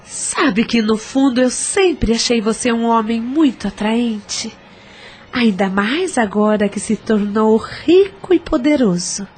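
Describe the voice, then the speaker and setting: High, husky, haughty possessed woman played by an actress. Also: lively intonation, slow, darkish tone, exaggerated voice. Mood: seductive. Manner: haughty, insistent. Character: seductive, vindictive possessed mother, defiant and ardent. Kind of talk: audio drama acting.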